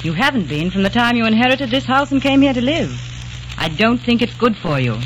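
An actress playing a sensible young woman who gently reasons with her fiancé, in an old radio drama. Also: a little high, sing-song, a little fast. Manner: soothing, practical, affectionate. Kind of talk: 1940s radio drama acting. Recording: noisy old radio recording.

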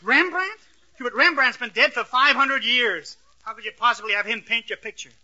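An actor playing a naive, excitable, hapless young man in an old radio comedy. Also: very high-pitched, normal speed, full character voice. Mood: boastful, incredulous. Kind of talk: 1940s-50s radio comedy acting. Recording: dull old radio recording, faint noise.

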